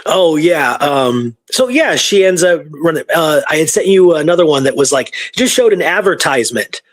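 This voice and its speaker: A man casually retelling history research from his notes, halting and informal as he reads out dates. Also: on the high side, lively intonation, dry recording.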